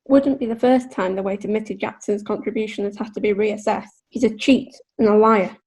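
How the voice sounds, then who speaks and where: An actress playing an aggrieved, proud butcher's wife, blunt and scornful, in a period courtroom play. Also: female voice, medium pitch, fairly even intonation, fairly quick, a little mellow, slightly rough. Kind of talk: theatrical courtroom play acting.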